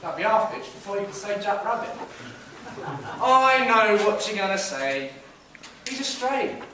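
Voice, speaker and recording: A man's voice: high voice, very animated, measured pace, bright, forceful tone, heavily acted. A man playing a cocky, wisecracking London stray cat in a theatrical stage pantomime. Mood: scheming. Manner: theatrical, calls out to the audience. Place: stage in a school hall. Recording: echoey school hall, distant mic, noisy.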